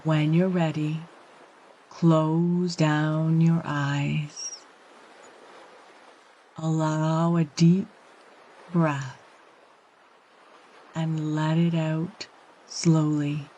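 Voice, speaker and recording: A woman guiding a yoga nidra meditation, speaking in a calm, gentle, soothing way with measured phrases and long pauses. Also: low-pitched, muffled recording. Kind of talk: guided meditation, slow and soothing instruction.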